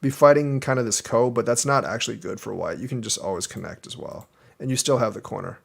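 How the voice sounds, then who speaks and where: A young man walks through a student's board game in a relaxed, conversational way. He thinks aloud as he explains why each move is too passive or not big enough, at an ordinary pitch for a man. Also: fast talker, creaky, husky. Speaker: Go teacher reviewing a game. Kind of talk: casual game review commentary.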